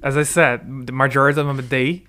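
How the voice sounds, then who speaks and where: Animated man, bright voice. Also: mid-range voice, brisk. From Brazil. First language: Portuguese. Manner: animated, talks in restarts and asks "right?" often. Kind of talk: casual conversation. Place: lives in the UK.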